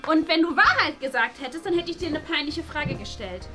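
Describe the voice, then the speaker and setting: A woman's voice: sits high, animated, a little fast, over music. A woman playing a whiny, dramatic, easily embarrassed schoolgirl in a kids' comedy sketch. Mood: explaining. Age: young adult. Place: small classroom.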